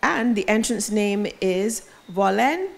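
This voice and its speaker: A woman's voice: mid-range voice, expressive, slow pace, creaky, slight background noise. A woman hosting an awards ceremony, announcing categories and nominees in a polished emcee manner with light self-deprecating asides. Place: event venue.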